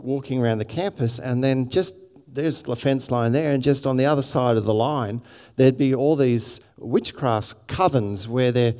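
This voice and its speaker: A man's voice: mid-range voice, darkish tone, in a large hall. A man with an Australian accent preaching a sermon, chatty with many restarts and asides to the congregation. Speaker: pastor.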